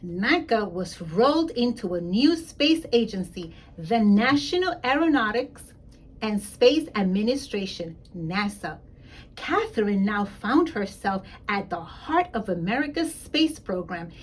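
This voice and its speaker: A woman reading a children's story aloud in an expressive, emphatic way, speaking directly to young listeners as a school principal would. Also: medium pitch, unhurried, husky, poor audio.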